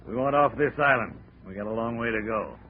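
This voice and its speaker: A man's voice: mid-range voice, a little fast, natural voice. An actor playing a ruthless armed male gangster who barks orders and sneers, in 1940s radio acting. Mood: sneering. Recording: dull 1940s radio broadcast with noise.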